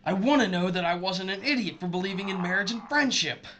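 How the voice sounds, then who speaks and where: A man's voice: sits high, lively intonation, fast talker, background noise. An actor playing a bitter, vengeful missile officer betrayed by his wife, intense and accusatory, in an audio drama. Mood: anguished rage.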